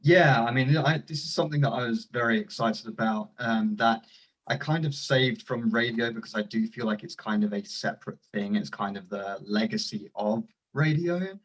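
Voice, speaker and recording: A man speaking as a panellist in a casual discussion, measured and reflective, on a lo-fi recording. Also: on the low side. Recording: dull lo-fi sound.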